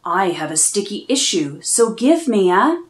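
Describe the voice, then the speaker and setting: A woman voicing a slimy green booger witch in a storybook, chanting in a low, hushed whisper. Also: big pitch swings, measured pace, reverberant. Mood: sly.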